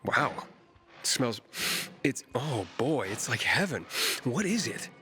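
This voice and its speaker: A man's voice: medium pitch, very animated, slow pace, sharp tone, heavily acted. An actor playing a determined young wanderer in a video game, casual but firm as he questions people. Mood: amazed. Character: determined young male wanderer, probing, streetwise hero. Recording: music behind the voice.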